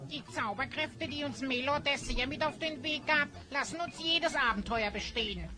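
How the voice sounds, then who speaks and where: A performer playing a cheeky, bragging little elf goblin with a squeaky voice in a fantasy audio drama. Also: normal speed, music in the background, lightly acted. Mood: cocky. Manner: pert, bragging.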